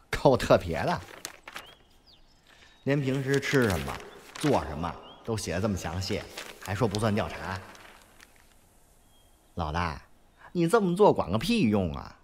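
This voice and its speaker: A man acting a lively, cheeky grumbling sidekick. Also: on the low side, a little slow, pauses often, a little hiss or hum. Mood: teasing, grumbling. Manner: slangy, exasperated, teasing. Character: cheeky, grumbling male sidekick teasing his boss. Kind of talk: Mandarin audio drama acting.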